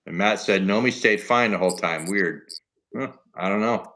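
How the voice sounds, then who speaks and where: A man with a fairly low voice hosts a relaxed livestream chat. He talks in an easy, rambling way and reads out viewer comments as he goes. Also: moderate pace, noisy background. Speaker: livestream host. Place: home homestead in Alaska.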